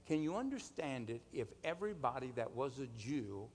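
A man preaching a sermon in an emphatic, deliberate manner, with rhetorical questions and calls for response. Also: mid-range voice, very animated, a little mellow, a little hiss or hum. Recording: long hall reverb.

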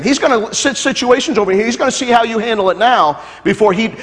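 A man preaching a sermon to a congregation as pastor, building to loud, fervent repetition and joking with the crowd. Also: sits high. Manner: jokes with the congregation. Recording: long hall reverb.

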